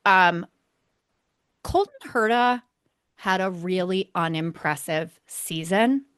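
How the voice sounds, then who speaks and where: A woman's voice: lowish voice, slow, a bit bright, clean tone, dry recording. A woman co-hosting a casual motorsport recap show, talking in an emphatic, opinionated way. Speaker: podcast co-host.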